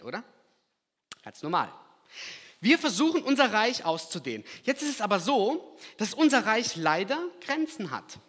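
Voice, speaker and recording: A man from Kazakhstan preaching a sermon, swinging from loud emphatic lines to quiet, hushed asides. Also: on the high side, breathy edge. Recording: long hall reverb.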